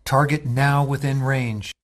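A man's voice: medium pitch, flat delivery, a little slow, close to an everyday voice. A man playing an alert soldier at a targeting station in a radio drama, giving clipped status reports.

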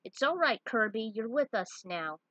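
A woman's voice: a little high, very animated, moderate pace, bright, forceful tone, no echo, muffled recording, heavily acted. A woman playing a commanding female warrior in a story, stern but protective, speaking firmly. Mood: reassuring. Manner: firm, emphatic.

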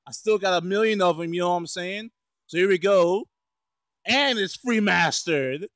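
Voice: mid-range voice, normal speed, fairly bright tone, no room sound, clean studio sound. An amateur performer dubbing a cartoon comically, with slangy exclamations and plenty of cursing. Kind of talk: profane comic cartoon fandub.

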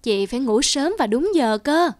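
An actress playing a gentle mother in a cartoon, patient and coaxing. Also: high voice, expressive, normal speed. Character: gentle mother coaxing her small daughter.

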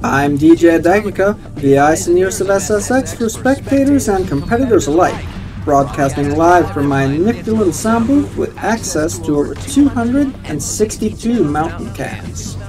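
A man's voice: high-pitched, expressive, normal speed, low-quality recording. A young man on a headset mic, giving a playful, upbeat mock radio DJ announcement in his own voice. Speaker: streamer. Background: faint game music.

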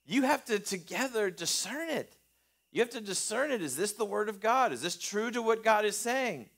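Earnest middle-aged pastor preaching. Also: male voice, high voice, expressive, moderate pace, creaky, fairly bright tone. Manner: earnest, gestures broadly, many restarts and fillers. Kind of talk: sermon. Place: small church chancel, at a lectern.

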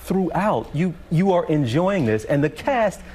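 A middle-aged man hosting a TV studio interview, animated and joking, with lots of stumbling restarts. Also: fairly high pitch, a little slow. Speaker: talk show host. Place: large TV studio.

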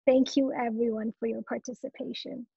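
A woman's voice: on the high side, flat delivery, fairly quick, creaky, dark tone, breathy edge, no echo. A young woman giving a formal, earnest thank-you speech on a video call, with fillers and restarts, speaking through earbuds. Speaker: chapter executive member giving thanks. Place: home room with brick wall. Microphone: wireless earbuds on a video call. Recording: dull lo-fi video call audio.

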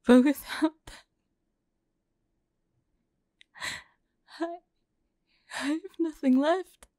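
Woman playing a soft, slow-spoken devoted lover. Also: sits high, fairly even intonation, dry recording, clean studio sound. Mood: grieving, stunned. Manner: soft, breathy, halting, often near whisper. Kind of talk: intimate audio roleplay acting.

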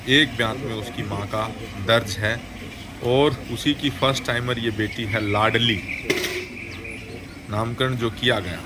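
A man narrating a voice-over over dairy milking footage, formal and measured, often pausing to rephrase. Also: medium pitch, very noisy. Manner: formal, measured, with frequent hesitations. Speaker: dairy farming video host.

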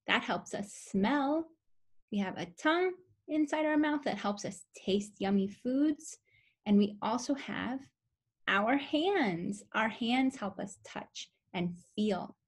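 A young woman reading a picture book aloud to toddlers as a preschool teacher, with simple, expressive phrasing and questions for the listeners. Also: medium pitch, husky. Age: young adult. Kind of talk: children's story read-aloud.

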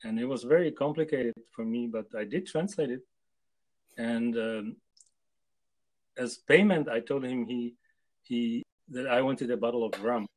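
An older man from Czechoslovakia answering interview questions on a video call, hesitant and full of pauses. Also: mid-range voice, low-quality recording. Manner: hesitant, with many pauses and fillers. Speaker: guest translator. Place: home room with photos on wall.

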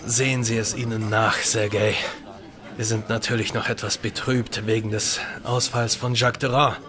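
A man's voice: fairly low pitch, flat delivery, moderate pace, crowd noise, close to an everyday voice. An actor playing the genial male leader of a research crew in an audio drama. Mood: formal welcome.

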